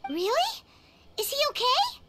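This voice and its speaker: Squeaky, excitable little fairy sidekick. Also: female voice, a little slow, loud music behind the voice, heavily acted. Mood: curious, worried. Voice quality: squeaky, childlike. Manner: blunt, exclaiming, reactive. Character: tiny childlike floating fairy companion, excitable, worried. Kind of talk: anime fantasy game voice acting.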